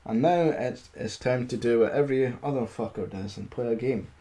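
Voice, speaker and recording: A man streaming amateur storytelling, halting as he reads, with casual, self-deprecating asides. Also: medium pitch, mellow. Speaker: streamer reading stories aloud.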